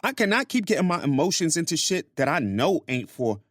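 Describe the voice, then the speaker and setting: A man's voice: fairly high pitch, sing-song, a little fast, no echo, clean studio sound, pitched above the performer's own voice. Man voicing a sassy New York Puerto Rican woman. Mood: griping. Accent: New York with Puerto Rican Spanish touch. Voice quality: attitude-laden, sharp-tongued.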